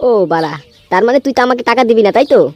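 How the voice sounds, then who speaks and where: Woman voicing a very fast, high-pitched cheeky young man. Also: close to an everyday voice. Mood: indignant, cursing. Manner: whiny, excitable, crude. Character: cheeky foul-mouthed lovestruck young man, quarrelsome son. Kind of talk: amateur comedy cartoon dubbing. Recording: clearly noisy homemade cartoon audio.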